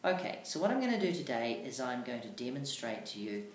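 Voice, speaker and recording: Instructional teacher, deliberate, emphatic, pausing between phrases, roomy sound, light background noise. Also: mid-range voice, very animated. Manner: deliberate, emphatic, with marked pauses. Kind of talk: instructional lesson on reading aloud. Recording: echoey room, faint background noise.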